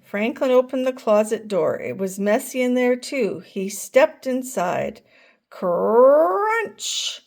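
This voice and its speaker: Slow, gentle older woman reading aloud. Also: fairly high pitch, big pitch swings, creaky. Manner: gentle, asks listeners questions. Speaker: storyteller grandmother. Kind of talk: reading a picture book aloud to children.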